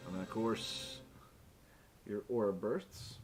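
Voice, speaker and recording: A man's voice: on the low side, slow. A man acting as game master, casually narrating a tabletop role-playing game in an informal way, with some swearing. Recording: music playing behind the voice. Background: music.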